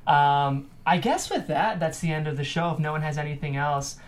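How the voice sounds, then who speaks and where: A man's voice: fairly high pitch, moderate pace. A man hosting a script table-read show in a casual, chatty style. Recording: background noise, room ambience.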